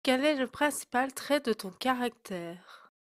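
A woman reading a scripted voice-over and putting interview questions in a clear, measured way. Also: high voice, animated, dry recording. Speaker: narrator and interviewer.

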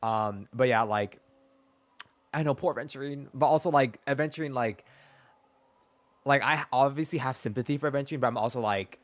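A man, chatting casually as a game streamer in an expressive, sassy, slangy way. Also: medium pitch, fairly quick, long pauses. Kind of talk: casual chat and reaction to a story game. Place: small dim room indoors.

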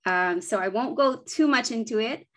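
A woman's voice: fairly high pitch, normal speed, fairly bright tone. Woman from the Philippines, Bisaya native speaker, 40s, earnest, lots of ums, laptop webcam audio. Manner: earnest, thoughtful, with frequent ums and self-corrections. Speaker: children's book publisher. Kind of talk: presentation over video call. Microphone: laptop webcam on video call.

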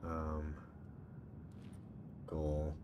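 A man's voice: a bass voice, completely flat delivery, extremely slow, noisy background. A man doing casual, sweary let's-play commentary, muttering to himself as he plays. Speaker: streamer playing a horror game. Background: horror game ambience.

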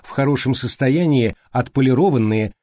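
Male audiobook narrator, dry close sound, measured literary delivery, mellow tone. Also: mid-range voice, no echo.

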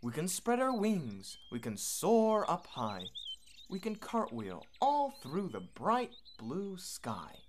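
A chatty little storybook bird , voiced by a woman, gentle and inviting. The singsong rhyme rises and falls freely at an unhurried pace. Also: on the low side, huge pitch swings, a little hiss or hum, pitched above the performer's own voice, exaggerated voice. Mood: friendly, inviting. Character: friendly, chatty little birds inviting a playmate.

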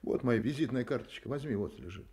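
A man's voice: mid-range voice, expressive, a little fast, warm tone, lightly acted. Guy playing a dry terse private eye in a radio drama, mid voice, bit of noise. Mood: dry, resolute. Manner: laconic, probing, dry. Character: terse, determined male private detective. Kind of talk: radio play acting.

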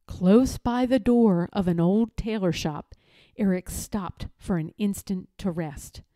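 A woman reading a children's story aloud in a deliberate, expressive, dramatic style. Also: mid-range voice, no room sound, clean studio sound. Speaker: storybook reader.

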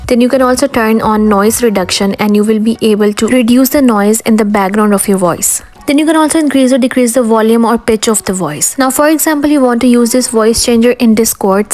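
A woman with a fairly high voice presents a scripted software tutorial as its host. She guides the listener step by step, with music playing behind her. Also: brisk, few pauses. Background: background music.

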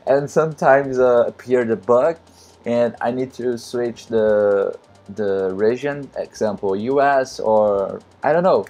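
Slow, mellow Brazilian man streaming. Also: mid-range voice, soft background music. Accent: Brazilian Portuguese. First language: Portuguese. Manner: halting non-native English, many fillers, frequent laughs. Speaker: game streamer. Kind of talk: casual live-stream commentary.

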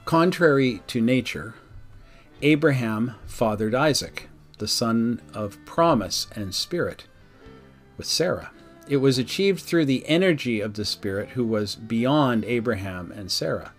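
A man speaking as a pastor, reading a devotional in a measured, earnest, formal way. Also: mid-range voice, animated. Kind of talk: devotional reading with reflective address to listeners. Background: background music.

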